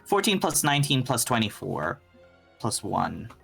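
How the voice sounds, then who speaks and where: Slow-paced game master, casual. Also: mid-range voice, sing-song, creaky, fairly bright tone, soft background music. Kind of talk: casual tabletop game narration and rulings.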